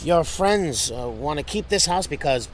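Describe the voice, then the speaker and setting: Blunt working man, higher-pitched, noisy street. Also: lively intonation, measured pace. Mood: easygoing, advising. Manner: blunt, folksy, slangy. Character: gruff working-class male demolition foreman, suspicious then easygoing. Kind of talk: period radio drama dialogue. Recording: clear background noise, outdoor street ambience.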